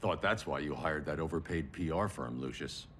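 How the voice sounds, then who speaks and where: An actor playing a grim, guarded billionaire vigilante in a video game, terse and commanding. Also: male voice, very deep voice, normal speed, a little hiss or hum. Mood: guarded, firm. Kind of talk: video game cutscene acting. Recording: long reverb, loud music behind.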